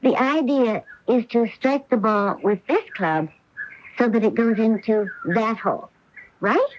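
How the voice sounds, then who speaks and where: An actress playing a sweet, self-assured and determined young wife in a radio mystery drama. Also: female voice, on the high side, unhurried, natural voice. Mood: breezily confident. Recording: dull lo-fi AM radio broadcast.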